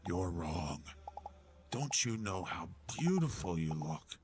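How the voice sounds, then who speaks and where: A zealous cult devotee, as a male narrator puts him on, talking low and slow in a near whisper. The lines drag out with reverent menace. A steady hiss sits under the voice, which sounds slightly off the mic. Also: full character voice. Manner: hushed, half-whispered pleading.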